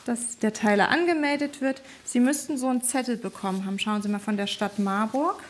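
Gentle, encouraging woman support worker. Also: fairly high pitch, brisk, a little hiss or hum. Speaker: newborn family support worker. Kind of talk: bedside conversation and interview. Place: hospital maternity ward.

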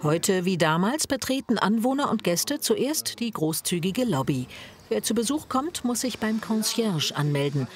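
A woman reading scripted voice-over narration for a TV report in a measured way. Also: medium pitch, sing-song.

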